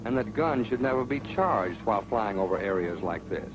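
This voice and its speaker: A man's voice: on the low side, lively intonation, brisk, poor audio. A man narrating a military training film with a stern, scolding, instructive manner. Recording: old film soundtrack with hiss.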